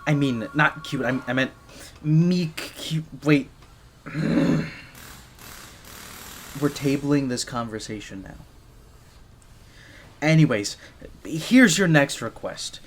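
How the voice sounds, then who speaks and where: Man playing a shy, flustered gadget maker, halting delivery. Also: medium pitch, animated, a little hiss or hum. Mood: flustered, awkward. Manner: nervous, stammering, halting. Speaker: audio roleplay performer. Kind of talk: scripted ASMR roleplay, one side of a dialogue.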